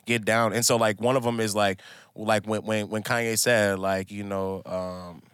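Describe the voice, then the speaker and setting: Deep-voiced young man saying bro a lot. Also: normal speed, clean tone, dry recording, clean studio sound. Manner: relaxed slang, says "bro" constantly. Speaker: podcast host. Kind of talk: casual podcast chat.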